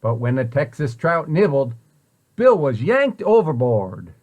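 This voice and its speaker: A man reading a children's story aloud in an expressive way, with deliberate, dramatic emphasis. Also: mid-range voice. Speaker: storybook reader, site director at a children's center.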